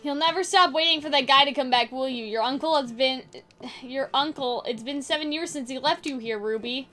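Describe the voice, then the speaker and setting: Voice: high-pitched, brisk, sharp tone, faint music behind. A woman voicing an upbeat, adventurous sister who loves traveling, in a visual novel game. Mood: disappointed, pleading.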